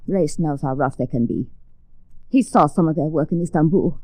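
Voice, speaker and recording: An actress playing a glamorous, earnest young woman resistance fighter in a 1940s radio drama, speaking with grave, deliberate formality. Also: low-pitched, dark tone, no echo, rough recording. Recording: restored 1940s radio recording.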